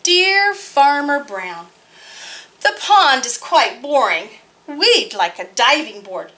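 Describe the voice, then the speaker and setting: A woman giving voice to a flock of bored ducks making a cheeky request, read in a bright, clear tone. The delivery is slow and even, pitched for a picture-book audience. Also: heavily acted, poor audio. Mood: matter-of-fact. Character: bored ducks asking for a diving board.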